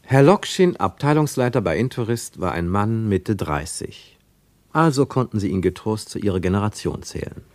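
A man narrating a radio play travel tale in a measured, gently ironic way. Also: fairly low pitch, sing-song, dry recording. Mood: wry, measured. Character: wry third-person storyteller of a travel tale.

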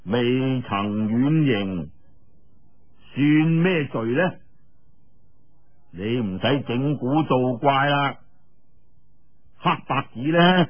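A man voicing a haughty, sarcastic male cult elder who interrogates in drawn-out, sneering Cantonese. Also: medium pitch, muffled recording. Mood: stern, accusing.

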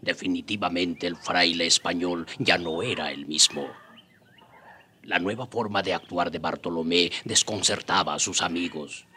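A man's voice: deep voice, moderate pace, noisy background. A man narrating a radio drama in scripted storytelling style. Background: clear background music.

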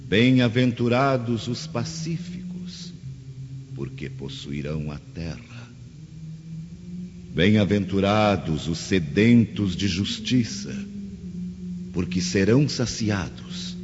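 A serene prophet preaching in a radio drama. His low voice moves very slowly and solemnly, with compassion in every measured phrase, ringing in long reverb. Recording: dull recording, long cathedral-like reverb, music behind. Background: music.

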